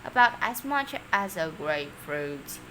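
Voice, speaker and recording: A woman voicing a sweet, doting storybook lady in a gushing, drawn-out, emphatic way. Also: deep voice, sing-song, fairly bright tone, slight background noise, exaggerated voice, poor audio. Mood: fond, wistful. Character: sweet, gentle, doting woman who adores her tortoise.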